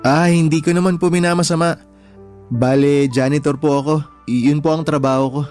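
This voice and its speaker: A man's voice: on the high side, steady tone, a little fast, darkish tone, soft background music, close to an everyday voice. An actor playing a humble male janitor in a Filipino radio drama, hesitant, polite and deferential. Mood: meek, embarrassed.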